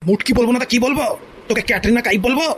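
A man's voice: extremely high pitch, very fast, fairly bright tone, a little hiss or hum. A man voicing a furious, domineering old village father in a comedy cartoon, scolding with indignant stuttered repeats. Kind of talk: exaggerated comedy cartoon dialogue.

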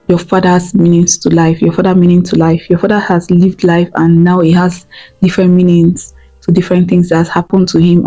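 A young woman from Nigeria with an Igbo accent speaks earnestly to camera, her voice a little low and warmly mellow. She often stops to check in with "okay?" and says her main points twice. She talks into a lapel mic in a room at home, with quiet music playing behind her. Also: low-quality recording. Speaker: vlogger. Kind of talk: motivational talk to camera.

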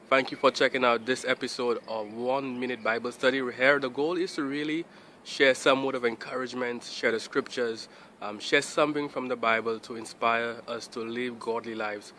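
Young guy doing a casual bible vlog, bright forceful voice, faint traffic noise outside. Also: mid-range voice. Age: young adult. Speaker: host of Bible study videos. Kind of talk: casual direct-to-camera vlog greeting. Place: outdoors beside a building. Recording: outdoor traffic and ambient noise.

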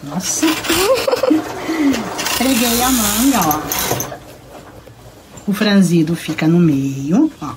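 A woman's voice: mid-range voice, extremely slow, pauses often. A woman with a Brazilian Portuguese accent, chatting casually while demonstrating sewing on a live stream in a workroom. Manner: chatty, lively, laughs often. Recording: noisy sewing room. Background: sewing machine noise.

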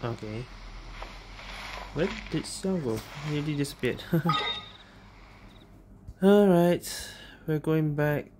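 Very low-voiced woman commenting on gameplay. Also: lively intonation, slow pace, long pauses, background noise. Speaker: gaming streamer. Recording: loud game music behind voice.

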